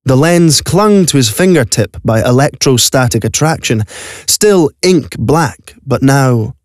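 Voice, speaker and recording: A man with a medium-pitched voice narrates an audio play. He reads the scripted story dramatically, in a dry studio sound. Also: expressive, unhurried, no echo. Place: recording studio.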